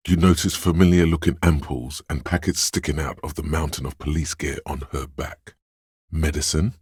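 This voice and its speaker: A very deep, gravelly, husky male voice speaks as the hero's watchful inner perception in a video game. The delivery is calm and measured, noting small details one at a time. The recording is dry and close. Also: no echo, full character voice. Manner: measured, observant, softly descriptive delivery. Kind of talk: second-person scripted game narration.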